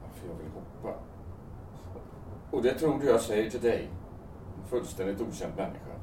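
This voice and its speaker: Man playing a bitter, dark-voiced, defiant man. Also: animated, lightly acted. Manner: curt, scornful, swearing. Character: bitter, defiant, despairing man on a rooftop ledge. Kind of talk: audio drama acting. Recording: roomy, distant mic with rumbling noise.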